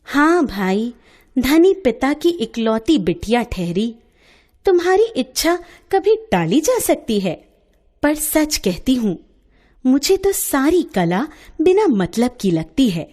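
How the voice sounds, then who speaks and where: A woman's voice: sits high, sing-song, slow, a little mellow, heavily acted. A woman voicing an earnest, grumbling young woman social worker in a storybook tale, reproachful and indignant.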